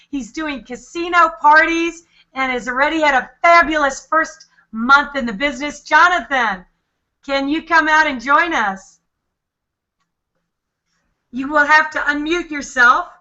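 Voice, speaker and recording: A woman's voice: high-pitched, sharp tone. A woman hosting an online panel call, upbeat and full of effusive praise for her guests. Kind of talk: upbeat network marketing hosting. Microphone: video call. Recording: lo-fi video call audio.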